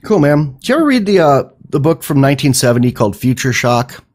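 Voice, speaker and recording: A man's voice: medium pitch, big pitch swings, fast talker, darkish tone. A man hosting a podcast in casual conversation, talking in a rambling, enthusiastic way with some swearing. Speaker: podcast host.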